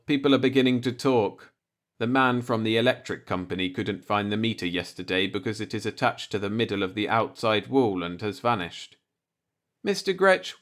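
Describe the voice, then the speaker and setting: A man's voice: medium pitch, fairly bright tone. A man reading a light-hearted short story aloud as an audiobook narrator, in a scripted storytelling style.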